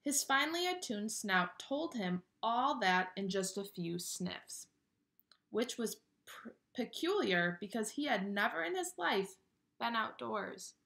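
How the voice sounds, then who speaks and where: A woman reading a children's picture book aloud with expressive, dramatic storytelling delivery. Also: fairly high pitch, unhurried, creaky.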